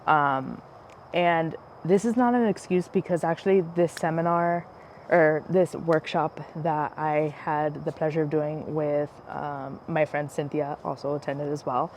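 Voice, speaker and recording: A woman's voice: low-pitched, fairly even intonation, a little slow, darkish tone. Chatty woman podcast host, lots of fillers. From United States. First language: Spanish. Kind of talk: casual wine podcast chat. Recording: faint background noise, passing airplane.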